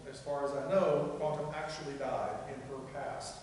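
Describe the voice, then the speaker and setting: A man's voice: medium pitch, level tone, moderate pace, a little mellow. A man in a group discussion, laying out his reasoning analytically, step by step. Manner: reasoned, builds an argument step by step. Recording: echoey hall, distant mic, faint hiss.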